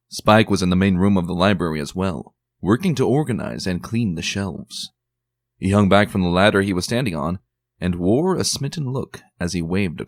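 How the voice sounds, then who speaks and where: A man narrating a story with measured, even storytelling delivery. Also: low-pitched, no room sound. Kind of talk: dramatic story reading.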